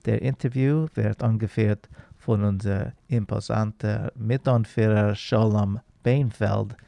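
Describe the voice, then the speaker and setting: A man's voice: fairly low pitch, gravelly, warm tone, dry recording. A man hosting a radio program, reading a formal introduction of the guest and interviewer. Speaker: radio host. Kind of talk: scripted show introduction.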